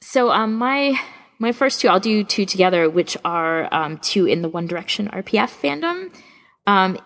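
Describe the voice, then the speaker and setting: A woman's voice: on the low side, gravelly, a bit bright. A woman co-hosting a casual podcast, chatting enthusiastically like a keen fan. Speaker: podcast co-host.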